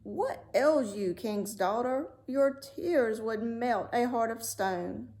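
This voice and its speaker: A woman voicing an earnest, pleading talking frog in a storybook, bargaining slowly. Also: on the high side, dark tone, pitched above the performer's own voice. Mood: coaxing. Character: ugly talking frog, pleading suitor to a princess. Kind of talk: storybook read-aloud character voice.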